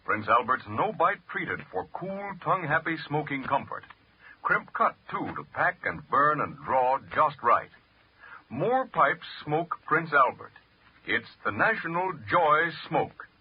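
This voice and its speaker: A man delivering a punchy sales pitch as an announcer in a 1940s radio advertisement. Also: sing-song, measured pace. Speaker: commercial announcer. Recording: dull vintage radio recording, faint noise.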